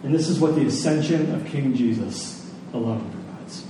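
A man's voice: mid-range voice, expressive, normal speed. A man preaching a church sermon as a pastor, emphatic and repeating phrases for weight, with conversational asides and rhetorical questions. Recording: echoey room, distant mic, faint noise.

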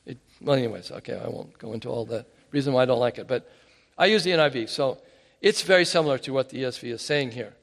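A man preaching a sermon in an animated, emphatic way, often restarting his phrases. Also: mid-range voice, quick pace, vocal fry. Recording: hall reverb.

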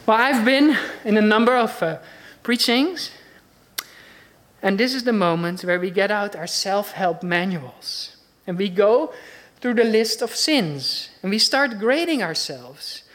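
A woman's voice: medium pitch, animated, unhurried. A woman with a Dutch accent delivers a sermon. She preaches earnestly and conversationally, putting question after question to her listeners.

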